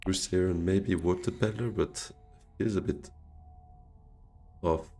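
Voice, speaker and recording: A man's voice: sits low, fairly even intonation, slow pace, vocal fry, mellow, soft background music. A Swiss man, streaming a board game live and thinking his moves through aloud to himself and his chat. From Switzerland. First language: French.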